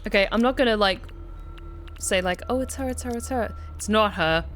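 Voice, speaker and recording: A woman's voice: mid-range voice, fairly quick, soft background music. A woman streaming a visual novel playthrough, mixing commentary with reading aloud in a wry, theatrical way.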